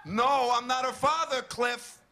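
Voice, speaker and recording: An actor playing a pushy, self-important parole officer in a radio play, wheedling. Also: male voice, very high-pitched, steady tone, a little slow, sharp tone, a little hiss or hum, heavily acted. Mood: evasive. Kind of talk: stage play performed for radio.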